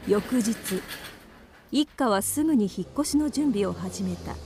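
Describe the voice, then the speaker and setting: A woman's voice: medium pitch, animated, unhurried, a little mellow, a little hiss or hum. A woman reading scripted narration for a radio drama horror story.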